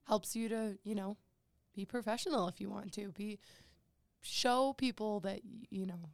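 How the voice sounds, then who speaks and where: A woman's voice: medium pitch, normal speed, creaky, a little mellow, no room sound. A young woman in college chatting casually on a podcast, joking at her own expense and using lots of fillers. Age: young adult. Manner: chatty, self-deprecating, many fillers. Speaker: podcast co-host. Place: home room.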